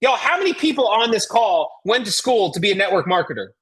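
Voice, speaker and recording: Husky, animated American woman coaching. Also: medium pitch, fast. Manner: emphatic, rhetorical questions, folksy address. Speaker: network marketing trainer. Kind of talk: motivational sales coaching talk.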